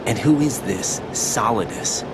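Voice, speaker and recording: An actor playing an earnest, sceptical young agent in a video game cutscene, asking terse, incredulous questions. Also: male voice, mid-range voice, lively intonation, measured pace, heavy background noise, natural voice. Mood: probing, startled. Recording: game audio with prominent background music.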